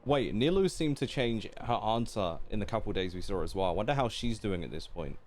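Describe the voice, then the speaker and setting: A man's voice: on the low side, expressive, a little fast, faint music behind. A man streaming a game, chatting and reacting casually in a playful, rambling way.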